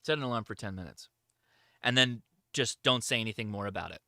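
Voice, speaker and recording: A man's voice: fairly low pitch, a little fast, creaky, pushed and bright, no room sound, clean studio sound. A man hosting a livestream, answering chat questions in a casual, informal, enthusiastic hobbyist way.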